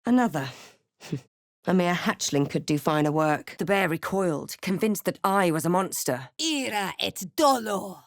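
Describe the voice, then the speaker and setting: An actress playing a wary, snarky female adventurer in a fantasy video game, with a dry, measured, theatrical delivery. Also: on the low side, animated, dry recording. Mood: forceful spell casting. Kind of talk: video game voice lines, scripted barks and narration.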